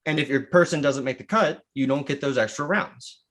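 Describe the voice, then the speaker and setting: A man's voice: on the high side, fairly quick, fairly bright tone. A man co-hosting a podcast, bantering casually and arguing his point. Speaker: podcast co-host.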